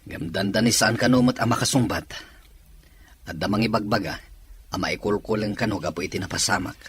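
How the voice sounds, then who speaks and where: A man's voice: on the low side, fairly quick, fairly bright tone, a little hiss or hum, natural voice. A man with a Filipino Ilocano accent playing a weary, worried man in a drama. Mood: concerned. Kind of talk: drama dialogue.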